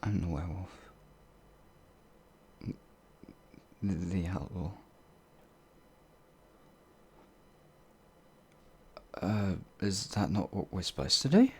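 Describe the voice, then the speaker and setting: Man acting a deep-voiced, stammering, timid werewolf. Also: a little fast, breathy edge, heavily acted. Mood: nervous, apologetic. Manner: stammering, hesitant, nervously rambling, meek. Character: timid, anxious, apologetic young male werewolf farmhand. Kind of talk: ASMR audio roleplay monologue.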